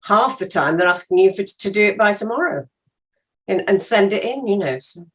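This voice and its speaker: A woman's voice: medium pitch, lively intonation, moderate pace, a bit bright. An older woman, an interview guest, talking frankly and emphatically in casual conversation.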